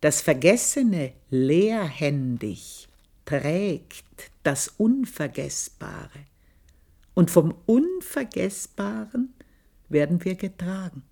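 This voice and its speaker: An actress playing an aging, shrewd maid in a radio play, confiding her memories in a hushed, whispery way. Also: fairly low pitch, very animated, takes it slowly, gravelly, heavily acted. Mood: brooding. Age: older. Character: aging female servant, shrewd and bitter. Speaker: maid recounting her past. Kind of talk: 1980s radio play monologue.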